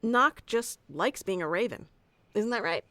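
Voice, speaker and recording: A woman's voice: medium pitch, lively intonation, unhurried, sharp tone, no echo. A woman playing a bossy, geeky tsundere wizard teacher who explains things informally and smugly in an ASMR roleplay. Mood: casual, instructive. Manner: informal, smug, flustered asides, explanatory. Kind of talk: scripted ASMR roleplay audio addressed to the listener.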